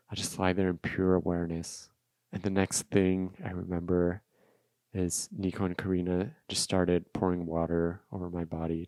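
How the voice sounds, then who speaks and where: A man with a low voice and a flat, even delivery, a native Russian speaker. He hosts a solo podcast, talking reflectively and off the cuff about personal experience, often repeating a phrase for emphasis. The sound is dry, with no room around it. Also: no echo. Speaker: podcast host. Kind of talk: unscripted solo podcast monologue, personal storytelling.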